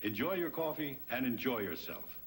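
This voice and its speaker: An American actor playing a reassuring coffee pitchman in a 1980s commercial. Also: very animated, normal speed, slight background noise, lightly acted. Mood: reassuring, amused.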